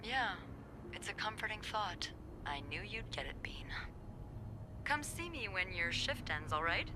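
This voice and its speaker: Playful, animated young hotel worker played by a woman. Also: mid-range voice, measured pace, lightly acted. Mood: amused, fond. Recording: clearly noisy background ambience.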